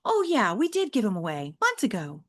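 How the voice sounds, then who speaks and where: Woman doing a gentle, flustered storybook mom. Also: mid-range voice, huge pitch swings, fairly quick. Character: patient, apologetic middle-aged mother.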